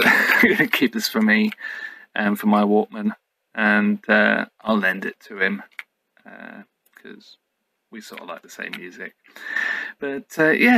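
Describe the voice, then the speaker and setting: A man's voice: on the low side, vocal fry, pushed and bright, rough recording. A middle-aged man giving a casual, unscripted show-and-tell, musing hesitantly as he goes. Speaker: YouTube host. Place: indoors at home.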